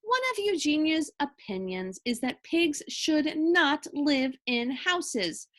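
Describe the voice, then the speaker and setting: A woman reading a children's story aloud with expressive narration. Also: sits high, takes it slowly.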